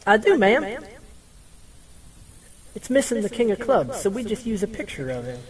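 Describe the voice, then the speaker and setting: A man's voice: high voice, very animated, moderate pace, crowd noise, low-quality recording. A man playing a simple, agreeable backwoods bandit in an audio drama, deferential, hesitant and plain-spoken. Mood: polite, obliging.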